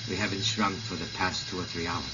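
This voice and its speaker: An actor playing a grave, devoted husband stranded in a strange world, earnest and dramatic in 1950s radio style. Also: male voice, low-pitched, flat delivery, fairly quick, natural voice. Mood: grave resolve. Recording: muffled, slightly noisy old radio recording.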